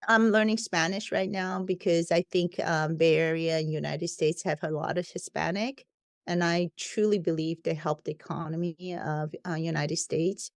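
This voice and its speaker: A woman's voice: on the low side, normal speed, no echo. Woman w/ an accent, chatty podcast guest, laughs a lot, dry room. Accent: non-native English. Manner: lively, laughs easily, frank. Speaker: guest, company founder and CEO. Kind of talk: casual podcast conversation.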